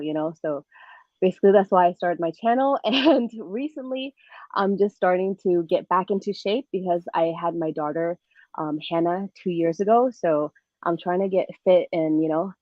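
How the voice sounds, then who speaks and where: A woman's voice: lowish voice, dry recording, muffled recording. A woman from Thailand chatting casually and informally as an interview guest. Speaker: guest, fitness vlogger.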